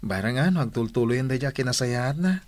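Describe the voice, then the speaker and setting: A man's voice: mid-range voice, lively intonation, brisk, dry recording, natural voice. A man acting a casual young student, a concerned cousin, in a radio drama. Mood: concerned, musing. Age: young adult. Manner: casual, conversational.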